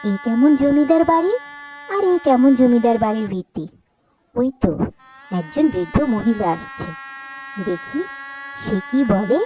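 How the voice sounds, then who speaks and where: A woman voices an anxious young bride in a cartoon. Her voice is fairly high, with a hesitant and plaintive manner. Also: lively intonation, unhurried, long pauses, muffled recording, slight background noise. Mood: uneasy, puzzled. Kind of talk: Bengali cartoon story dialogue.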